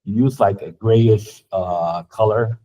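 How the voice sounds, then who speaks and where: A man's voice: fairly low pitch, slow pace, mellow. A man giving a student feedback in class, talking casually and searching for words. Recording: dull lo-fi recording.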